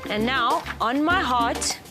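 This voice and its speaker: A woman's voice: sits high, slow pace. Cheerful woman presenting a kids' craft show. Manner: cheerful, patient step-by-step instructions. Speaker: children's TV presenter. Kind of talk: craft demonstration for children. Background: music.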